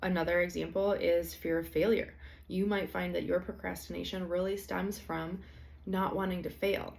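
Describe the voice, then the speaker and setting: A young woman hosting a solo podcast, slightly hoarse from allergies, talking in a casual, chatty way with frequent fillers. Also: on the low side, little pitch movement, normal speed, a little mellow. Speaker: podcast host. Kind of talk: solo podcast talk on productivity.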